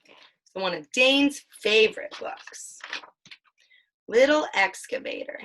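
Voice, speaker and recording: Bright-voiced mother reading a picture book aloud. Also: on the high side, expressive. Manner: sing-song storytelling, drawn-out sound words. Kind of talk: children's read-aloud with questions.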